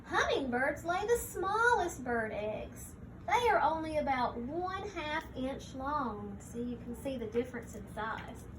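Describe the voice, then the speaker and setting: A woman teacher reading a picture book aloud to young children, pausing to explain things simply and point them out. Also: sits high, sing-song, noisy background.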